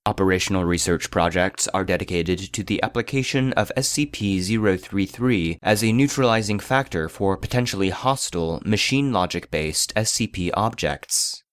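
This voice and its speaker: An actor playing an impassive archive database voice, reading classified reports in a formal, measured, clinical way. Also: male voice, low voice, clean tone, dry recording, lightly acted. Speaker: narrator. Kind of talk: scripted narration, clinical report.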